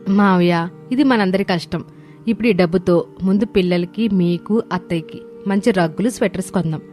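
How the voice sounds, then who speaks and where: A woman's voice: lowish voice, moderate pace, a little mellow, faint music behind, lightly acted. A woman playing a respectful, earnest young daughter-in-law in a village cartoon moral story. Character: hard-working, polite young village daughter-in-law selling food. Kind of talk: dramatised moral story dialogue.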